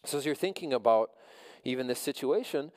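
Earnest pastor preaching in a reverberant church. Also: male voice, mid-range voice, lively intonation, a little mellow. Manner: measured, earnest, repeats phrases for emphasis. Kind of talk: sermon.

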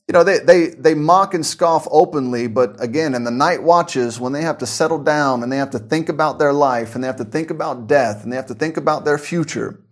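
Man, preacher, earnest, stumbling restarts, voice a little high. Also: fairly quick, few pauses. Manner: earnest, repeats words as he restarts. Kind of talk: conversational radio-style sermon.

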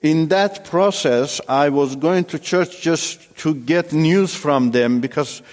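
Halting man telling his testimony. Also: fairly high pitch, darkish tone, long reverb tail. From Iran. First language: Turkish. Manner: halting, many fillers and restarts. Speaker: testimony speaker. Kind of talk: personal testimony talk.

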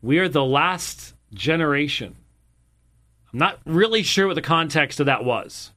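A man's voice: fairly high pitch, animated, a little slow, pushed and bright. A man hosting a show, commenting on video clips in an emphatic way and repeating his points for weight.

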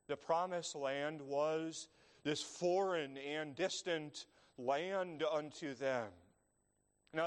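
Slow, measured male preacher in a hall. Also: a little high. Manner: slow, measured phrasing with long dramatic pauses. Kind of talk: sermon. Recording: long reverb of a large hall.

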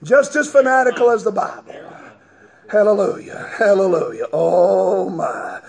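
A man preaching a fiery revival sermon in a church, a strong voice and a chanting, shouting cadence, as the congregation answers him. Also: high-pitched, expressive, unhurried. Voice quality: strong, gravelly voice. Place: church sanctuary with congregation responding.

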